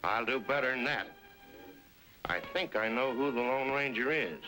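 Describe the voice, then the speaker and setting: An actor playing a confident, sly henchman in an old-time western serial, with a brisk, assured delivery. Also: medium pitch, bright, forceful tone, close to an everyday voice, rough recording. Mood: smug. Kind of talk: 1930s-40s western serial acting. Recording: vintage radio recording with music.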